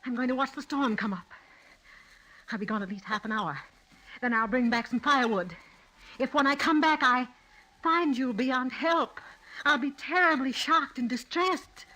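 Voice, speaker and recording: A bright, forceful, fairly high voice from an old radio play: a cold, scheming wife. She speaks with theatrical composure and deliberate, calculating menace. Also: low-quality recording. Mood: icy. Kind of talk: old-time radio drama acting.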